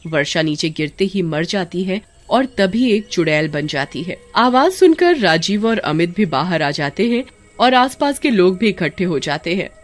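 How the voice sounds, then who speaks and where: A woman's voice: on the low side, very animated, moderate pace. A woman narrating a scripted story as a storyteller.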